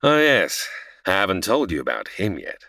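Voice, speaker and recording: A man's voice: sits low, huge pitch swings, moderate pace, gravelly, pushed and bright, dry recording, studio quality, exaggerated voice. A man playing a patient, slightly flustered angel who narrates and explains a story in a comedy audio drama. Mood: storytelling.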